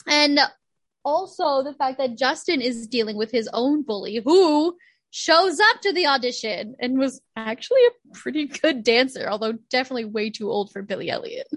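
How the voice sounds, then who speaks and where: A young woman co-hosting a casual podcast chat, animated, laughing often and acting out quotes. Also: sits high, normal speed, no echo. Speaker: podcast co-host.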